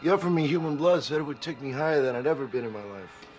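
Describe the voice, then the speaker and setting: A man's voice: medium pitch, animated, fast talker, lightly acted. An actor playing an eccentric, drug-crazed man who rambles through a film narration. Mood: dazed, manic. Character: drug-crazed man recounting a bizarre binge. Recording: voice over loud music. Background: music.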